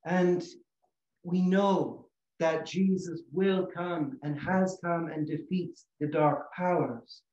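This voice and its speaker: Male preacher, middle-aged, slightly high voice, earnest measured delivery in a small reverberant chapel. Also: steady tone, a little mellow. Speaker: Orthodox priest. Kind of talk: sermon. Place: small room chapel in a house, indoors. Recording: echoey room, slightly dull sound.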